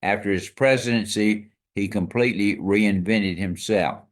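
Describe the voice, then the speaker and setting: A man with a fairly low voice co-hosts a scripted history podcast. He explains each fact with emphasis and leans into the surprising details, as if letting the listener in on a secret. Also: fairly even intonation, moderate pace. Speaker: co-host.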